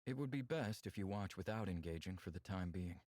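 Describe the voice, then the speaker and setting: A man voicing a calm, earnest male visual novel character who speaks in a measured, polite, formal way. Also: deep voice, no echo, studio quality, pitched below the performer's own voice. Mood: formal, composed. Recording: music clearly behind the voice. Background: background music.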